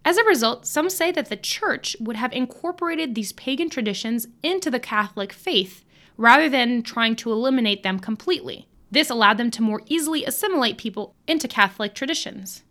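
An American woman co-hosting a podcast, reading prepared history facts in a clear, upbeat way. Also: on the high side, lively intonation, brisk, sharp tone. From United States. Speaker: podcast co-host. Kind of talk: scripted podcast narration.

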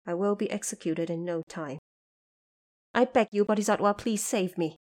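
A woman's voice: medium pitch, normal speed, a little mellow, lightly acted. A woman voicing a wretched young male dragon prince who speaks in a formal, pleading way for an audio drama.

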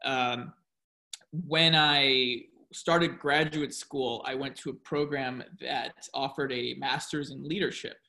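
A man with a notably bright, forward tone answers questions on a podcast. He talks in a casual, reflective way and explains his ideas at length, building them step by step. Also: medium pitch, fairly even intonation, takes it slowly, gravelly, low-quality recording. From United States. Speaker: podcast guest, professor. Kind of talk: casual interview talk.

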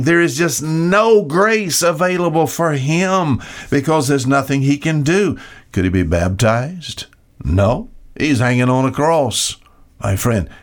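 A male preacher delivers a verse-by-verse sermon in a mid-pitched voice. He is emphatic, setting off key words with dramatic pauses and putting pointed rhetorical questions to his listeners. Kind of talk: expository sermon, reading and explaining Bible verses.

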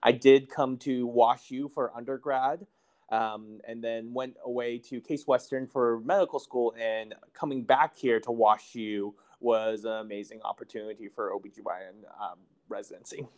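A man's voice: medium pitch, steady tone, normal speed, a little mellow. A man in his late twenties, a resident doctor guest, talking casually in a podcast interview with many fillers and light laughter. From China. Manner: easygoing, laughs while telling stories, many fillers.

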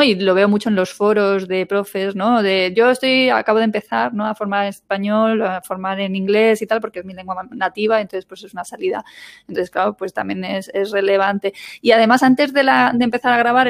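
Chatty host woman. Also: medium pitch. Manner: chatty, frequent "¿no?" and "¿sabes?" tags. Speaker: podcast host. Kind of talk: casual conversational interview.

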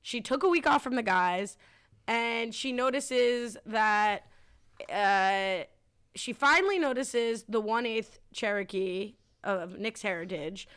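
A woman's voice: a little high, a bit bright, clear, resonant tone. A woman co-hosting a podcast, chatting casually about a TV show with a wry, teasing manner. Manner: wry, teasing, quick asides. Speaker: podcast co-host.

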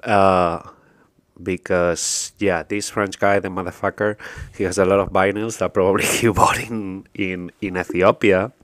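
Low-voiced man, dry close sound. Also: a little slow, no room sound. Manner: relaxed, hesitant, many fillers and restarts. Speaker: radio show co-host. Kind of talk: casual radio chat.

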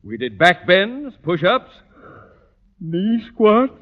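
A pompous, blustering middle-aged principal in an old radio comedy. His fairly high voice swings dramatically up and down with theatrical sarcasm. The recording is muffled and vintage. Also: sing-song, slow pace, full character voice. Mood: pompous, boastful. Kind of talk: 1940s-50s radio comedy acting.

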